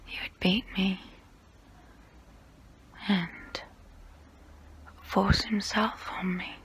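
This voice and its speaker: Woman whispering as a slow, intimate female vampire. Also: medium pitch, a bit bright. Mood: pained, subdued. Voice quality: breathy whisper. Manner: slow, intimate, close-up, deliberate pauses. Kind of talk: whispered ASMR role play monologue.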